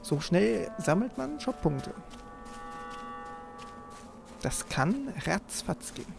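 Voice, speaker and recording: A man's voice: sits high, big pitch swings, slow, gravelly. A man giving casual gameplay commentary, talking informally and half to himself as he plays. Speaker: let's player, gaming host. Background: game music.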